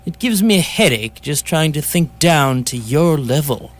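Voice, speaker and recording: Man acting a high-pitched, gloomy paranoid robot. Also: expressive, a little slow. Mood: morose, disdainful. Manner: morose, condescending. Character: gloomy, self-pitying, superior depressed male robot. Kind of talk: live radio theatre acting.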